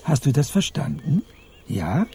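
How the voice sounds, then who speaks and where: An actor playing a blunt, menacing old amateur gangster who taunts theatrically in a radio crime comedy. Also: male voice, fairly high pitch, sing-song, a little slow, gravelly, mellow, breathy edge, full character voice. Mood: taunting.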